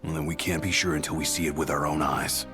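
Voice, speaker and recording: An actor playing a big, stoic young bodyguard in a video game, gruff, solemn and weighty. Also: male voice, extremely low pitch, steady tone, fast, over music. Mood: somber. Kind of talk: video game cutscene acting.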